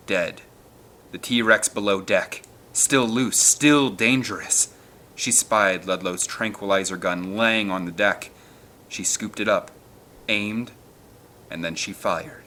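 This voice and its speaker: A man who hosts and narrates audiobook readings in an expressive, dramatic way, at times speaking casually to listeners. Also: on the low side, slow, a little hiss or hum. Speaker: narrator and channel host. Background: film score music.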